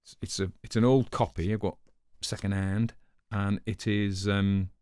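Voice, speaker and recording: A man's voice: on the low side, little pitch movement, measured pace, a little mellow, clear, resonant tone, no room sound, clean studio sound. A man hosting a story reading, giving informal literary commentary in a chatty manner with offhand asides. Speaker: host and story reader.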